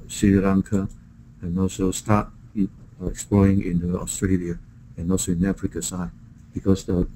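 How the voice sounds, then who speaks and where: Low, mellow Hong Kong man, halting speech. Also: a little hiss or hum, low-quality recording. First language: Chinese. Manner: halting, repeats words. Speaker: software vendor presenting to a prospective partner. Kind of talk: business call, product pitch.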